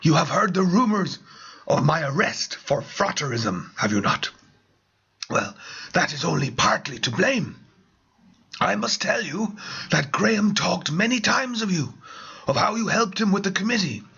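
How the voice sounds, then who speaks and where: Man playing a portentous, high-pitched confidant. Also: sing-song, measured pace, vocal fry, bright, forceful tone, slightly rough, lo-fi sound, heavily acted. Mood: conspiratorial. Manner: portentous, urgent, formal. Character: confiding, solemn man spreading mysterious news. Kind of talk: comic story reading.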